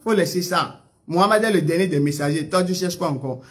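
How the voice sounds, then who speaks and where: A man's voice: high voice, a little fast, a bit bright. A man with a West African French accent hosting a debate, pressing one question again and again and cutting in. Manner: repeats the same question, presses, interrupts. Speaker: debate host. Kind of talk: heated religious debate.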